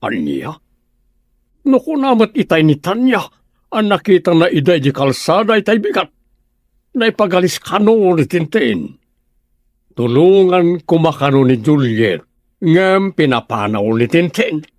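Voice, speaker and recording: Man acting a high, animated village gossip. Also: a little slow, dry recording, studio quality. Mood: insistent. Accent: northern Philippine. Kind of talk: acted audio drama.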